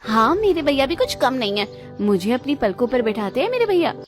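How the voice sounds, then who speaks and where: Woman voicing a high-pitched, whiny young sister. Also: big pitch swings, a little fast, soft background music. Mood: boastful. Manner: whiny, dramatic. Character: spoiled, envious young sister craving her brother's affection.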